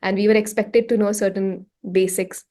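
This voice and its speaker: Chatty Indian woman, expressive storyteller. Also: mid-range voice, moderate pace. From Raipur, India. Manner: chatty, expressive, slips into Hindi phrases, voices quotes. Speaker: singer-songwriter, interview guest. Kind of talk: casual conversational interview answers.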